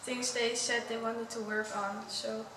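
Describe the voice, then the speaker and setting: High-voiced young woman. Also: level tone, measured pace, far from the mic. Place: indoors by a brick wall. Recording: echoey hall from afar with noise.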